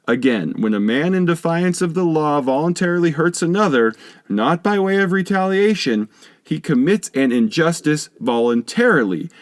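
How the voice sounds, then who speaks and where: A man's voice: a little high, no room sound. Male narrator for scholarly audiobook: formal, instructive read, widely varied melody, dry studio-like sound. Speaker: audiobook reader. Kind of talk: scripted narration, reading a philosophy text aloud.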